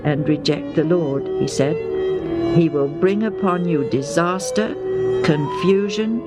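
A woman's voice: sits low, slow, over loud music. A woman playing a solemn, devout father. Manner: solemn, steady, preacherly. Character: earnest pious Israelite father, middle-aged man.

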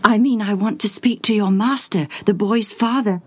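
A woman voicing a calm, polite male visitor at the door. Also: mid-range voice, normal speed, slightly rough, pitched above the performer's own voice, lightly acted.

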